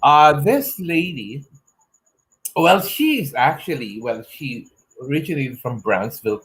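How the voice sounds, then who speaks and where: A man's voice: medium pitch, slight background noise, poor audio. Give me a middle-aged male host gushing over his guests on a video call. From Philippines. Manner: gushing, effusive, exclaims a lot. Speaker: talk show host. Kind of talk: online interview show hosting. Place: home room with shelves. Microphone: earphone headset mic on video call.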